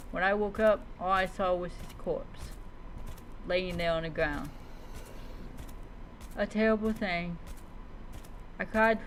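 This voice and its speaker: Woman role-playing over noisy game ambience. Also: mid-range voice. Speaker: streamer role-playing a character in a video game. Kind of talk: in-character game narration.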